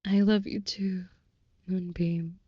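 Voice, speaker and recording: A woman playing a devoted, tender vampire girlfriend in an intimate audio roleplay, speaking softly and breathily in florid, reverent, formal language. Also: fairly low pitch, level tone, takes it slowly, no room sound, dull audio. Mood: tender, adoring. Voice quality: soft, breathy with whispered moments.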